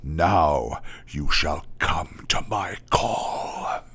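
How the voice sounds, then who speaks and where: A man's voice: very deep voice, drawn-out words, very noisy, heavily acted. A man playing an imperious vampire lord in a radio play, threatening in a theatrical manner. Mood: menacing.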